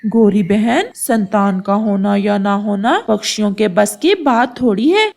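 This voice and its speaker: A woman voicing a gentle, devoted male pigeon husband in a Hindi moral-story cartoon, speaking in an earnest, consoling way. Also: medium pitch, expressive, unhurried, creaky. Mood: earnest, protesting.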